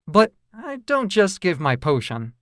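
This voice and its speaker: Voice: mid-range voice, very animated, unhurried, fairly bright tone, no echo, studio quality. A storyteller voicing a bargaining sea witch in a children's fairy tale, laying down terms.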